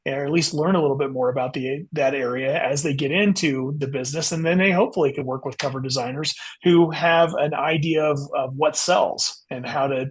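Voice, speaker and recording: A man's voice: a little high, breathy edge. A man speaking as an author guest in a casual interview, explaining things and often saying "you know". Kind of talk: casual interview talk about writing.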